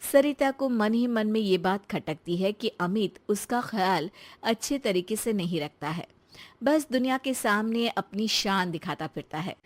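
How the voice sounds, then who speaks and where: A woman's voice: mid-range voice, lively intonation, a little slow. A woman storyteller reading scripted narration for a cartoon tale.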